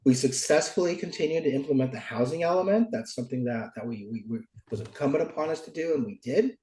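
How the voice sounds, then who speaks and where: A middle-aged American man, stuffy with a cold, giving deliberate, articulate interview answers as a local politician. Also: mid-range voice, warm tone. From Oakland, California, United States. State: stuffy from a cold, cough drop in mouth. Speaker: local politician, former mayor. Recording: roomy, echoing sound.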